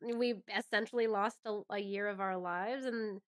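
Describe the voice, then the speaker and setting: A woman's voice: medium pitch, steady tone, normal speed. A woman speaking as a podcast guest in casual, informal chat, with phrases that break off and pick up again.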